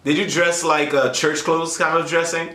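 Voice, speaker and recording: A man's voice: fairly high pitch, flat delivery, moderate pace, sharp tone, reverberant. A man hosting an interview, asking curious, conversational questions. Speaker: host.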